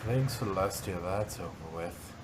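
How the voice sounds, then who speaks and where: A man's voice: sits low, measured pace. A man voicing a refined female pony, worried and caring, in a fan-fiction audio drama with a noisy recording. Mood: relieved.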